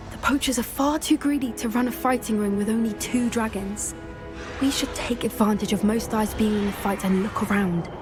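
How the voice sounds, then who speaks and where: A woman's voice: a little high, fairly even intonation, moderate pace, music in the background, natural voice. An actress playing an earnest, protective young witch student, in video game dialogue. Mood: indignant. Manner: earnest, protective, quietly worried.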